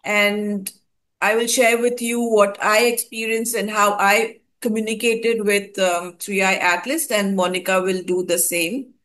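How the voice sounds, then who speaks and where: Woman, from India, host, slow pace, hesitant, restarts often. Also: mid-range voice, fairly even intonation, a bit bright. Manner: hesitant, many fillers and restarts. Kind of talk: casual spoken account of a spiritual experience.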